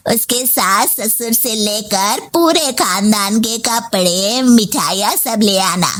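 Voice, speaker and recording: A woman voicing a domineering, demanding older mother-in-law in a story, scolding and complaining. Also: on the high side. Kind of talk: story voice acting.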